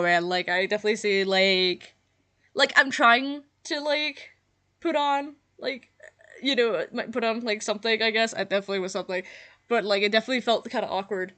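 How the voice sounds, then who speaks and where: A woman's voice: mid-range voice, fairly bright tone. A young adult woman hosting her own channel, chatting casually in a reaction video. She has just woken up, so her voice is nasal, and she rambles with lots of fillers and laughs at herself. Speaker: channel host.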